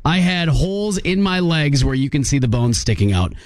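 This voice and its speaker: A man's voice: on the high side, big pitch swings, normal speed, no echo, studio quality. A man co-hosting a morning radio show, joking around and reading listener texts aloud. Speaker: radio co-host.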